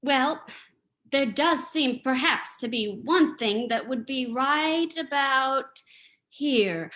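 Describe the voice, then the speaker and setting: Proper, anxious radio-drama heroine , female actor: high-pitched, bright, theatrical delivery. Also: lively intonation, slow, heavily acted. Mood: polite, uneasy. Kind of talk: old-time radio drama reading.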